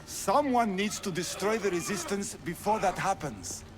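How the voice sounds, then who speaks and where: An actor playing a cold, formal, embittered noble of an ancient race who despises humans, in a video game drama. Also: male voice, high voice, lively intonation, moderate pace, music in the background. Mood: insistent.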